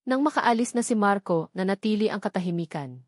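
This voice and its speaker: A woman's voice: mid-range voice, lively intonation, brisk, no room sound, clean studio sound. A woman giving scripted, dramatic narration of a story as its narrator.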